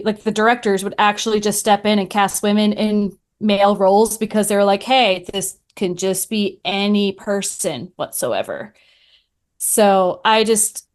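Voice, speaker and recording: A woman chats casually as a podcast guest. She tells things in an animated, storytelling way, with a mid-pitched voice and little acted-out quotes. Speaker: podcaster answering questions as a guest. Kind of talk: casual chat.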